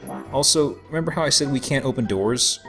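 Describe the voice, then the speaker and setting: A man giving casual, joking let's play commentary in a rambling, halting way. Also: mid-range voice, lively intonation, a bit bright. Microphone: voice-over microphone over game audio. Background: game music.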